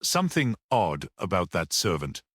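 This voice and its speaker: A man voicing a brisk, sceptical police detective in a mystery audiobook, businesslike and questioning. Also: sits low, big pitch swings, fairly bright tone, dry recording, clean studio sound, exaggerated voice. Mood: puzzled.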